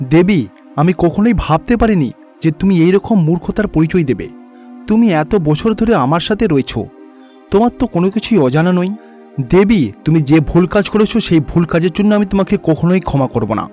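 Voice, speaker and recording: Man voicing a high-pitched, stern wrathful god. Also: animated, normal speed, dark tone, soft background music. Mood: angry, reproachful. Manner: grave, reproachful, pronouncing judgement. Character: stern, wrathful male god scolding his wife.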